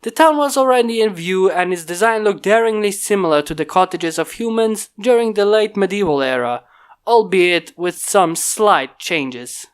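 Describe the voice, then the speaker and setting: An amateur reader narrates a fan-fiction chapter at an even storytelling pace, voice neither high nor low. The home microphone is failing and lets through occasional pops. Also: normal speed, clean tone. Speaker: fanfiction reader.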